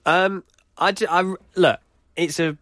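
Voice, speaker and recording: A man's voice: high-pitched, fairly even intonation, takes it slowly, fairly bright tone, no echo, clean studio sound. A young British man co-hosting a football podcast, opinionated and full of cheeky banter. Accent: British English. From Britain. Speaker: podcast co-host. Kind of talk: casual football podcast chat.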